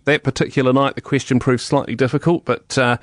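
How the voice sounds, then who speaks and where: A man's voice: medium pitch, fairly even intonation, brisk, no echo, studio quality. A man with a New Zealand accent chatting casually on the radio, patient, often restarting his phrases. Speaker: radio presenter, now interview guest.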